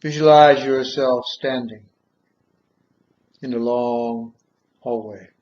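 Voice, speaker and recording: A man guiding a meditation in measured short phrases with long pauses, on a dull, muffled recording. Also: mid-range voice, fairly even intonation. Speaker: guided meditation leader. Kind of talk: guided meditation, slow soothing instruction.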